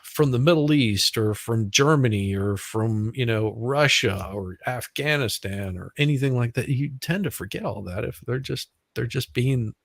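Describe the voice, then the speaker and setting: Man, Southern US accent, mid-pitched, gravelly fry, halting, reflective, casual chat. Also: no room sound, clean studio sound. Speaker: live stream guest.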